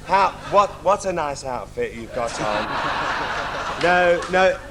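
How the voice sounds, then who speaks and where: A man playing a coy, bawdy young pantomime princess, teasing and halting in a comic stage style. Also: on the high side, expressive. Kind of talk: adult pantomime stage comedy. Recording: noisy live theatre stage.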